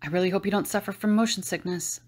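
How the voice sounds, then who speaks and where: A woman's voice: mid-range voice, steady tone, fast talker, a little mellow, natural voice. A woman voicing a bossy, exasperated woman adventurer in an audio drama, speaking with urgency. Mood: scolding. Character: Ali, brisk exasperated woman adventurer on a mission.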